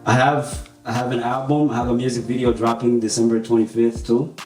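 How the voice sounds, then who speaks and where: Male, 20s. Informal, slangy interviewee, slightly low voice, roomy sound. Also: little pitch movement, a little fast, darkish tone. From born in Tanzania to Burundian parents, grew up in the United States from age seven. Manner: informal, slangy, mixes some Swahili into English. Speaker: musician and producer being interviewed. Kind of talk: casual interview chat. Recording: roomy echo with faint music behind.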